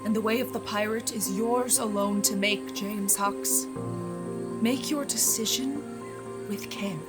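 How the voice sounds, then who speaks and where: A woman playing a grave, wise guardian of a magical island in an audio drama, measured and probing. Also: mid-range voice, steady tone, over loud music, close to an everyday voice. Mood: solemn, challenging.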